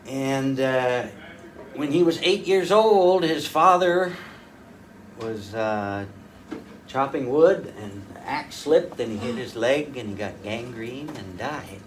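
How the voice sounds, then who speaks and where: An older man, a folk fiddler, casually telling family stories to a small audience in a rambling, folksy way with many pauses and restarts. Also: mid-range voice, lively intonation. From rural northwest North Dakota. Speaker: folk fiddler giving a talk. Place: room at a folk fiddlers' gathering. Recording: distant mic, faint room noise.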